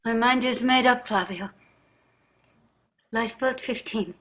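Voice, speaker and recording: Slow, restrained wealthy wife, acted by a woman. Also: a little high, a bit bright. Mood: resolute. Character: wealthy, restrained, quietly bitter wife named Betsy. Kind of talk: staged play reading over video call.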